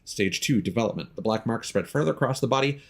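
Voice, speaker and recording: A man's voice: medium pitch, lively intonation, quick pace, gravelly. A man giving casual gameplay commentary as a streamer, muttering to himself and reading in-game notes aloud.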